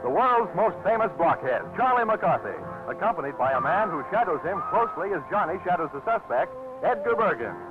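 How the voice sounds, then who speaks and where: A man announcing credits and promotions in bright, lively 1940s network radio style, on a tinny old radio broadcast with music. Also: high-pitched, a little fast.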